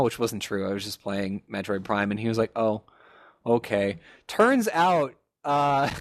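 A young man co-hosting a gaming podcast, his voice sitting at an ordinary middle pitch. He talks in a loose, rambling way, full of fillers, and laughs at his own stories. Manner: rambling storyteller, laughs often, many fillers. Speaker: podcast co-host. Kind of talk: casual gaming podcast chat.

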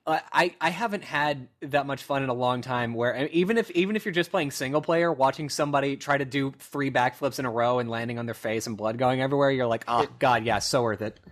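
Guy hosting a gaming podcast, chatty and animated, mid pitch. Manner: animated storyteller, quick asides. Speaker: podcast host. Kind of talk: casual chat.

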